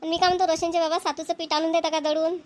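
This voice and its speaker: A woman's voice: extremely high pitch, flat delivery, very fast, darkish tone. A woman voicing a patient village mother in a cartoon, speaking in rural dialect. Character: village mother, patient, homely, dutiful wife. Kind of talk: cartoon voice acting.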